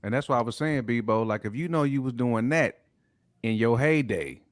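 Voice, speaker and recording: A man's voice: mid-range voice, expressive, moderate pace, no room sound. Slangy man, dry close sound. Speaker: podcast co-host. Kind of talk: casual slangy banter.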